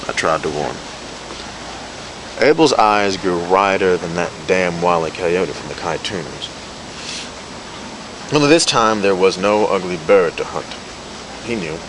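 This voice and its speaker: A man reading literary fiction aloud in a storytelling style. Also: low-pitched. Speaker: reader of a novella. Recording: noisy amateur recording with steady background hum.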